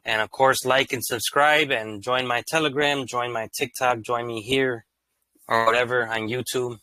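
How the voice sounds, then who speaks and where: A man hosting his own channel, reading court rulings aloud in an even, monotone way and breaking off into casual, slangy commentary. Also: medium pitch, normal speed, dry recording, low-quality recording. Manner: reads legal text evenly, then breaks into loose slangy asides.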